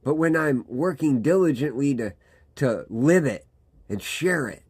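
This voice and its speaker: A man, a pastor giving a reflective devotional talk with pauses and restarts. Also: medium pitch, animated, darkish tone, husky. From Michigan, USA. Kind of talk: devotional sermon.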